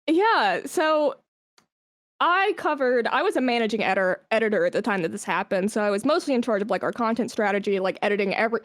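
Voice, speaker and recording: A woman's voice: on the high side, animated, brisk, dry recording, clean studio sound. A woman speaking as a podcast guest in a casual interview, telling things candidly and informally. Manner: informal, candid storytelling peppered with "like". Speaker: podcast guest, esports brand manager.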